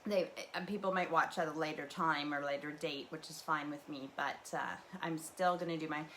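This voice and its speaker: A chatty woman with a low voice, rambling casually through her home livestream. She wanders from thought to thought with plenty of fillers and restarts. A faint hum of ambient noise sits behind her webcam mic. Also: fairly even intonation, normal speed, fairly bright tone. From Canadian prairies. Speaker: livestream host.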